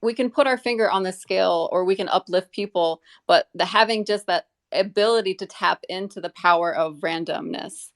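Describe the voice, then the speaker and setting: Female panel guest, low gravelly fry, earnest meandering conversational delivery. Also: moderate pace, few pauses. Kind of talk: casual live audio discussion.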